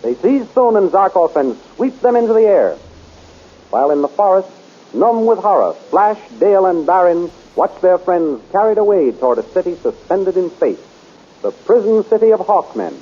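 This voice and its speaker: Man, dramatic serial narrator, sweeping lively delivery, muffled old radio recording, faint hiss. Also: sits high, moderate pace.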